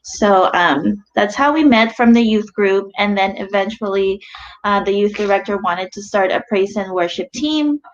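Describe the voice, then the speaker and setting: A young woman from the Philippines, answering interview questions in a soft, hesitant way with many ums. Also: medium pitch, normal speed. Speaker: guest. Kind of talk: casual interview chat. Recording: slightly dull audio.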